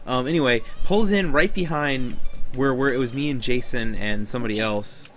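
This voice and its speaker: A man chatting casually while playing a video game, telling stories in an animated way with frequent swearing. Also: medium pitch, moderate pace. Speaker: let's play co-host.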